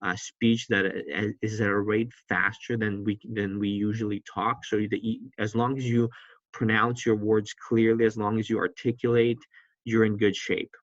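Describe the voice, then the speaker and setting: A man's voice: fairly low pitch, little pitch movement, moderate pace, dry recording, highs cut off. A man teaching public speaking in a recorded lecture, talking conversationally from a seat and often restarting his sentences. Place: seated indoors, not in a classroom.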